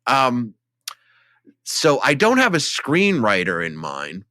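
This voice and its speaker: Bright-voiced, animated male film podcast host. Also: medium pitch, slow, no echo, clean studio sound. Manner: enthusiastic, emphatic, conversational. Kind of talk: casual film discussion. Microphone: studio microphone, close.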